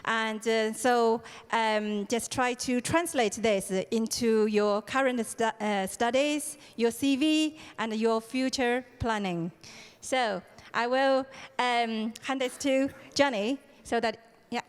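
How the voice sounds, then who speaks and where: A woman's voice: fairly high pitch, takes it slowly, clear, resonant tone. A woman organizing a program, giving an opening ceremony address with frequent hesitations and fillers. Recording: hall reverb.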